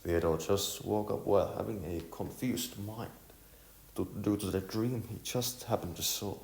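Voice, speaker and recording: A man reading scripted storybook narration in a halting way, stumbling over words and repeating them. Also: on the low side, gravelly, darkish tone, a little hiss or hum. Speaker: narrator of an audiovisual novel. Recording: echoey room, music underneath.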